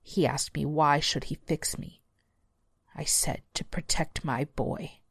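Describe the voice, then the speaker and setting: A woman voicing a massive demonic devil dog from a horror story, guttural and deliberate, menacing yet loyal. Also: sits low, fairly even intonation, vocal fry, fairly bright tone, breathy edge, no room sound, clean studio sound, exaggerated voice. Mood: sorrowful. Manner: slow, deliberate menacing delivery.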